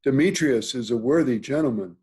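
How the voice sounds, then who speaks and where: A man playing an authoritative duke, reading Shakespearean verse in a formal, deliberate manner. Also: medium pitch, darkish tone, slightly rough, lightly acted. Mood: grave, advising. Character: authoritative, measured duke counseling a young maid. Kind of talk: Shakespearean verse table read. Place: remote video call reading. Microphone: video call.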